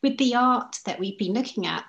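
A woman's voice: on the high side, expressive, a little fast, vocal fry, muffled recording. A woman poet on a video call, talking informally and reflectively as she answers questions. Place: indoors at home, on a group video call.

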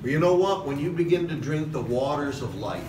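A middle-aged man preaching a sermon from a podium in a large church hall, passionate, with "okay?" asides and slow emphatic lines. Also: fairly high pitch, expressive. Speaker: pastor. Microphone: far camera in the hall. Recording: echoey hall recorded from a distance with noise.

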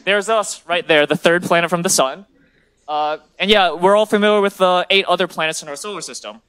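Young guy grad student doing a casual science lecture, slightly high voice, pretty excited, big lecture hall. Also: a little fast, smooth. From China and the US. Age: 20s. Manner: enthusiastic, checks in with "right?". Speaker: graduate student speaker. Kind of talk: informal public science lecture.

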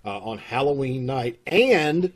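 A middle-aged man with a husky voice, making folksy, casual announcements to an online audience over a video call. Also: medium pitch, very animated, slow pace. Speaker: troupe host making announcements. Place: room at home, on video call. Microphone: video call microphone.

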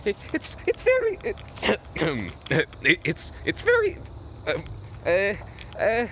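Man, nervous stammering vlogger, voice cracks, very swoopy pitch, slow delivery, outdoor night recording. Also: wildly swooping pitch, fairly bright tone, slight background noise. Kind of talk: mock-scared comedic night vlog. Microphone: handheld camera.

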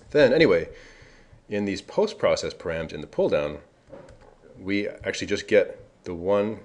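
A man giving a casual screencast tutorial, explaining configuration code and correcting himself now and then. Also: low-pitched. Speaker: software developer presenting a tutorial. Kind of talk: screencast walkthrough.

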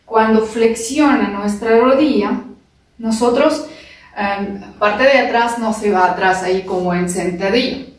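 A young woman, not a native speaker, teaching dance steps with careful, halting phrasing and counted beats, in a large living room. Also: fairly high pitch. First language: not Spanish. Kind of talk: slow step-by-step dance instruction, counting beats. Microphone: far camera. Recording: echoey room from far off.

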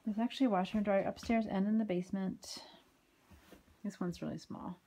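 A woman giving a casual walking tour of a house and its backyard, filmed on a handheld phone, with chatty remarks that trail off into soft asides. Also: medium pitch, steady tone, brisk, slight background noise. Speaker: home tour vlogger. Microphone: phone camera held while walking.

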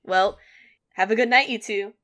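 A woman's voice: mid-range voice, normal speed, a bit bright, close to an everyday voice. A woman voicing a cheerful, teasing young costume fan in a cartoon dub. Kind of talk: animated web comic dub acting.

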